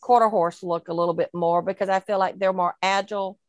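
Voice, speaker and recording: A woman's voice: mid-range voice, steady tone, fairly quick, a little mellow, no echo, studio quality. A woman on a video call panel, talking casually and thinking aloud with rhetorical questions about dog breeding.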